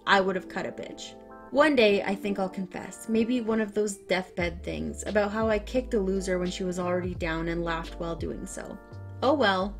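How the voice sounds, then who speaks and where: Woman reading revenge stories aloud. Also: mid-range voice. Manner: animated, swings from calm reading to loud excited outbursts. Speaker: story narrator.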